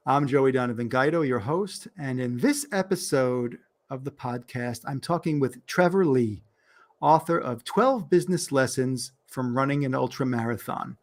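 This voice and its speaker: A man's voice: mid-range voice, moderate pace, a little mellow. A man with a New York accent hosting a podcast interview, upbeat and laughing often as he introduces his guest. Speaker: podcast host.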